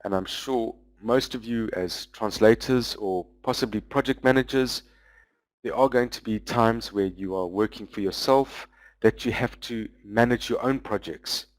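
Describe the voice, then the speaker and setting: Man, lowish voice, dry close sound, webinar presenter, explanatory, pauses with "um" often. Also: no room sound, low-quality recording. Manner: explanatory, walking through product features; loose, with frequent "um" pauses. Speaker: business development manager presenting a webinar.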